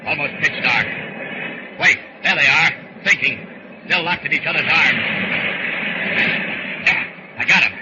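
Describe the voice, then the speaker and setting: A man's voice: on the high side, unhurried, long pauses, lightly acted. A man playing an earnest flying superhero in 1940s radio style, thinking aloud in short exclamatory bursts. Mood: urgent. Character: flying superhero, earnest, strong, searching the sea. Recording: noisy, dull old radio recording.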